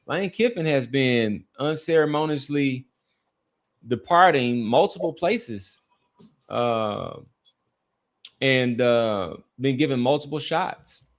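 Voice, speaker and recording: A man's voice: mid-range voice, expressive, slow pace. A man hosting a casual sports talk podcast, speaking emphatically and often repeating his phrases for weight.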